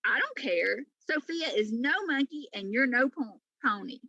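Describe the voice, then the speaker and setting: A woman's voice: fairly high pitch, lively intonation, measured pace, pushed and bright, no echo, highs cut off, full character voice. A woman voicing a proud, prickly female cat in a storybook, with a haughty, sarcastic, snappish manner.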